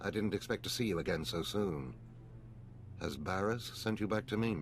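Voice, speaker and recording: An actor playing a dignified, resigned Sith overseer in a video game, speaking in a solemn, formal, measured way. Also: male voice, low voice, slight background noise. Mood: grave, resigned. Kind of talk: scripted video game voice acting.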